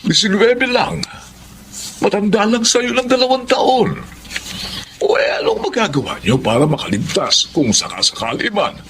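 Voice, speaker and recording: A man's voice: high voice, huge pitch swings, moderate pace, fairly bright tone, slight background noise, exaggerated voice. An actor playing a protective, anxious old provincial grandfather in a radio drama. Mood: worried, protective. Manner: anxious, cautioning. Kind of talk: Tagalog radio drama acting.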